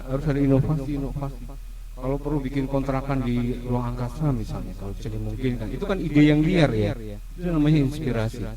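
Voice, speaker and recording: A man's voice: mid-range voice, poor audio. A man with an Indonesian accent giving a casual, explanatory introduction as a podcast host, often posing rhetorical questions. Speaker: host of a school history podcast.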